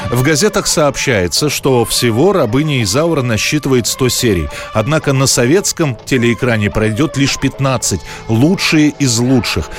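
Man, documentary narrator, history programme, scripted delivery, mid-pitched voice, light music behind. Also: lively intonation, moderate pace, hardly any pauses. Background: faint background music.

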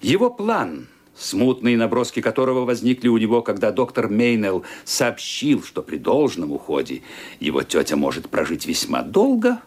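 The narrator of a radio crime drama. His intonation swings very widely from phrase to phrase. He tells the tale in measured, scripted, dramatic lines. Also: fairly low pitch, big pitch swings, darkish tone. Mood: measured, suspenseful.

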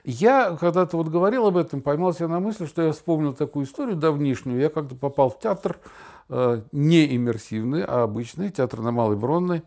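Middle-aged male podcast host, lively swinging intonation, emphatic and stumbling over words as he muses. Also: on the high side. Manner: animated, emphatic, halting with stammered repetitions. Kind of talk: casual reflective conversation with anecdotes.

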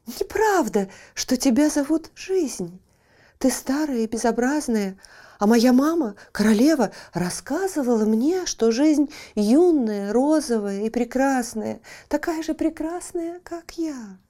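A woman's voice: sits high, lively intonation, measured pace, warm tone, voice pushed up. A woman voicing a cheerful, carefree young princess in a storybook reading, eager and exclaiming. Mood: excited.

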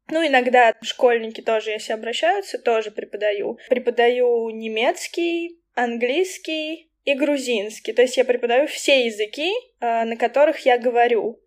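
A young woman, a poet and language teacher, chatting casually in a podcast and telling stories with quick asides. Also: on the high side.

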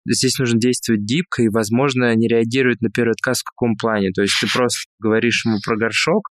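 A man's voice: medium pitch, steady tone, quick pace, a bit bright, dry recording, studio quality. A young man co-hosting a podcast, talking casually and conversationally. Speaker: podcast co-host, parent.

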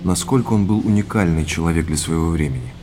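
A man's voice: low voice, expressive, fast talker, music in the background. An actor playing an earnest, determined businessman husband in a naturalistic audio drama. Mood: calm.

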